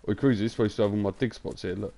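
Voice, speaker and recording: Croaky cheeky bloke streaming. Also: male voice, on the low side, steady tone, very fast, warm tone. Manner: cheeky, crude joking. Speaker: gaming streamer. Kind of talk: casual live-stream banter.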